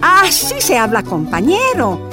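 An ailing older woman, a miners' leader in a radio drama. Her voice is high and swings widely in melody, with slow, deliberate phrasing. She sounds warm, plaintive and resolute. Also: wildly swooping pitch, loud music behind the voice, exaggerated voice. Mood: eager.

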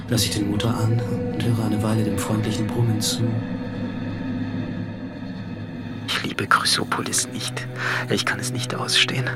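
An actor playing a solitary, reflective last man on earth in a radio drama, brooding and measured, with music behind him. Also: fairly low pitch, lightly acted. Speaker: actor narrating a radio play. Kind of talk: literary radio drama monologue.

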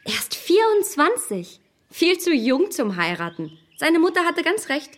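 An actress playing a proud, snobbish young gentlewoman in a radio play, speaking in a poised, condescending and emphatic way. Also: extremely high pitch, big pitch swings, measured pace, exaggerated voice. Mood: haughty, admonishing.

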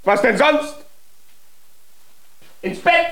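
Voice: high-pitched, animated, very slow, gravelly, fairly bright tone, full character voice. A performer playing a small eight-year-old giant boy in a children's radio drama, mocking, whiny and defiant. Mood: defiant, sulky.

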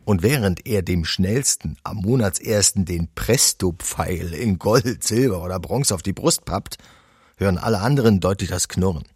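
Radio drama casting: cynical male narrator, low husky voice, dry close recording. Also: normal speed, a little mellow, no room sound. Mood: sardonic. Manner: sardonic. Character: cynical male narrator describing courier work.

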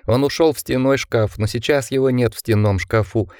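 A man's voice: mid-range voice, moderate pace, a little mellow, no room sound, clean studio sound, close to an everyday voice. A man voicing a feverish, frightened young man who pleads and whimpers. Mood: terrified.

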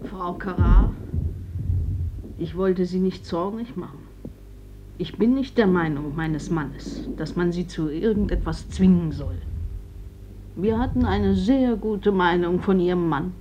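An actress playing an older, grieving mother in a radio drama, plain-spoken, measured and resigned. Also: on the low side, background noise, lightly acted. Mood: apologetic. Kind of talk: German stage-like radio drama acting. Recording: dull old radio drama recording.

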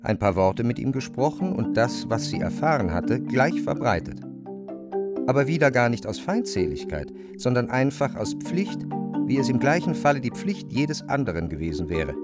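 Actor as animated guy narrating a radio play, very melodic delivery, loud marimba music behind. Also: mid-range voice, normal speed, close to an everyday voice. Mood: explanatory, lively. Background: marimba and vibraphone music.

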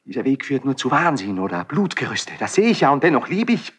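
A man playing an anguished young crown prince in a classical German verse radio drama, declaiming emotionally between hushed brooding and outbursts. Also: mid-range voice, big pitch swings, a little fast, breathy edge. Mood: tormented.

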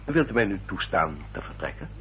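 An actor playing a courtly, insistent gentleman suitor in a radio play, formal and emphatic. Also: low voice, sing-song, fairly quick. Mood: courteous, insistent. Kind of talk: Dutch radio play acting. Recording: dull, noisy old radio recording.

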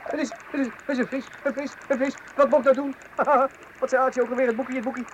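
A high-pitched, excitable angler in an old radio comedy. He is boastful yet naive, and stammers and repeats himself when flustered. Clear hiss runs behind the voice. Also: a little fast, dull audio. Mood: frantic excitement. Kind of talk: radio serial comedy acting.

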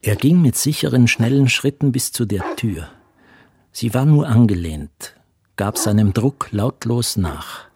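A man playing the grave storyteller, narrating a literary radio drama in measured phrasing. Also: mid-range voice, expressive, a little mellow, slightly rough, no room sound. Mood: tense. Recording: studio voice with music behind.

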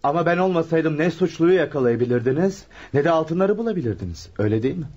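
An actor playing a shrewd, self-assured amateur detective in a Turkish radio drama, speaking in a measured, polite, slightly sly way. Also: male voice, a little high, expressive, darkish tone, slightly rough. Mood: self-justifying. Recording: muffled lo-fi radio drama recording.

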